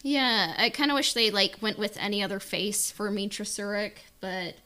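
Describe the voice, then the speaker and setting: A woman's voice: medium pitch, steady tone, moderate pace, pushed and bright. A woman in casual discussion as a podcast panelist, giving opinions in a hesitant, hedging, informal way. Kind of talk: casual chat, opinion on a book.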